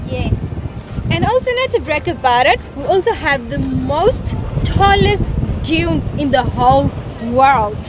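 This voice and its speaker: Very high, lively woman guide. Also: a little slow, music in the background. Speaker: local tour guide. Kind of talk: welcoming presentation to a visitor.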